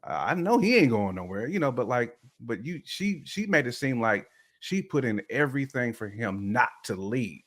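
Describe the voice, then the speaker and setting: A man's voice: mid-range voice, very animated, normal speed, a bit bright. A man chatting casually on a podcast, giving opinions in informal, slangy, emphatic phrases. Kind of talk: casual chat, opinionated commentary.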